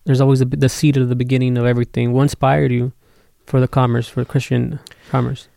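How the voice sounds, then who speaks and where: A man's voice: medium pitch, little pitch movement, brisk, creaky, mellow, no echo, clean studio sound. A male host asking a guest questions in a curious, easygoing way. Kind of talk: interview questions.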